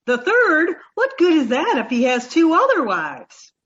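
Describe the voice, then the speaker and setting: High-voiced, ditzy, sweet young woman. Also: sing-song, fairly bright tone, highs cut off, heavily acted. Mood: naive, chirpy. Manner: guileless, earnest, slow on the uptake. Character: dim-witted, sweet, naive young woman roommate. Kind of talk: old-time radio sitcom acting.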